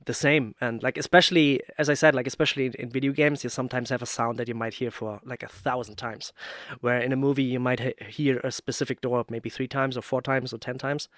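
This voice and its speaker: A man with a medium-pitched voice hosts a casual podcast interview. The sound is dry, and he tells stories conversationally, slipping into quoted reactions. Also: steady tone, quick pace, fairly bright tone, no room sound. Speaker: podcast host.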